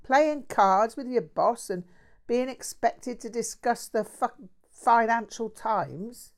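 A woman's voice: fairly high pitch, slow pace, pitched above the performer's own voice. A woman voicing a crude bloke. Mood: ranting. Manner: swearing, slangy, ranting. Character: crude brash working-class London man.

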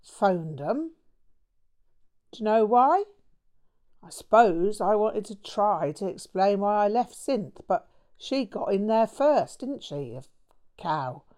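A woman's voice: medium pitch, very animated, slow, pauses often, a little mellow. A woman playing a brash, foul-mouthed English bloke. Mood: ranting. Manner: swearing, slangy, bursts of manic cackling. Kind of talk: audiobook character voice.

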